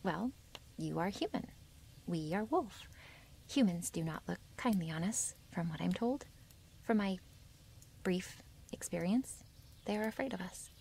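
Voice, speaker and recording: A woman voicing a wary, possessive young female werewolf in an intimate ASMR roleplay, breathy and half-whispered, with halting, formal phrasing. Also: on the low side, lively intonation, darkish tone, slight background noise. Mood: uneasy, guarded. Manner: halting, stiffly formal phrasing without contractions.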